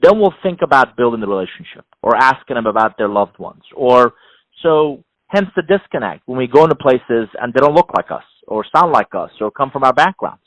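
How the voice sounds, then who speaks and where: A middle-aged man from Iran, a non-native English speaker, giving measured, explanatory answers as an interview guest, often building his points in repeated phrases. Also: mid-range voice, very animated, fairly bright tone, no room sound, dull audio. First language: not English. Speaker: interview guest, executive coach and author.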